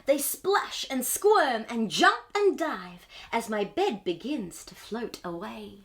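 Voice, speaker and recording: Animated, high-voiced woman reading to children. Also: slow pace. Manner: wide-eyed wonder, exclamations and gasps between passages. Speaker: storytime host reading a children's book. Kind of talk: expressive read-aloud for young children.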